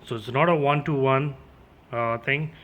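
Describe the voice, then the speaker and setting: A man's voice: medium pitch, unhurried, fairly bright tone, highs cut off. A man giving a casual, informal unboxing and demo as a gear reviewer, addressing his viewers directly.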